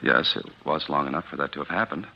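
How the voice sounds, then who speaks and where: An actor playing an earnest, plain-spoken young ex-convict on trial, in 1940s radio drama style. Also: male voice, a bass voice, creaky, breathy edge, full character voice. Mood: subdued. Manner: plain-spoken, halting, insistent. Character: accused young ex-convict, earnest, grimly determined under pressure. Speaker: defendant on trial. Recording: dull vintage 1940s radio recording.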